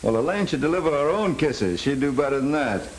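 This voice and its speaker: An actor playing a suave middle-aged American older brother in a 1930s film, on a crackly, clicking soundtrack. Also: male voice, on the high side, lively intonation, fairly quick. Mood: fond, teasing. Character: suave racket boss, doting, teasing older brother.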